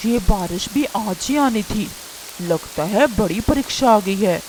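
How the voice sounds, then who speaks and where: A woman's voice: medium pitch, lively intonation, a little slow, a little mellow, a little hiss or hum, lightly acted, poor audio. A woman voicing a hapless, henpecked male crow in a Hindi cartoon, with a whiny, ingratiating manner. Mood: grumbling. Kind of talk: Hindi cartoon character acting.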